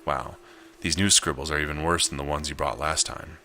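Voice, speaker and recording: A man's voice: rumbling low, fairly even intonation, fairly quick, bright, forceful tone, faint music behind, full character voice. A man voicing a rude, mocking male heckler in a visual novel. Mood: sneering. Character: rude, sneering male heckler, a creepy troll.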